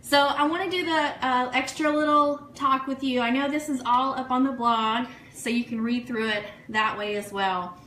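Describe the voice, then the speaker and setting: A woman with a bright, fairly high voice hosts a casual livestream show. She chats in an effusive, excitable way, gushing through shout-outs to sponsors and listeners. The sound has the echo of a live room. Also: normal speed, few pauses. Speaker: online radio show host. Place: room, live social media stream. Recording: echoey room, faint noise.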